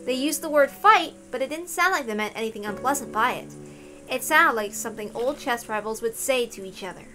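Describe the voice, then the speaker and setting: Female story narrator, high pitch, bright tone, reading aloud, faint music and rain behind. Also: expressive, brisk. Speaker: reader of a visual novel for an audience. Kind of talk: storybook-like narration of a visual novel, read aloud.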